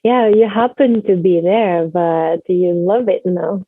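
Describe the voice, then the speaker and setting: A woman's voice: fairly low pitch, fairly even intonation, slow pace, breathy edge. A woman hosting a casual radio interview, speaking in an encouraging, attentive way. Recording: dull lo-fi audio.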